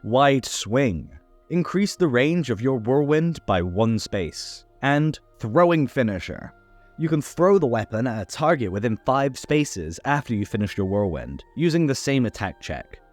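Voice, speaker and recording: Man with lively pitch explaining game rules. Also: measured pace, faint music behind. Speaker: host of a tabletop game tutorial channel. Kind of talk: scripted explainer narration.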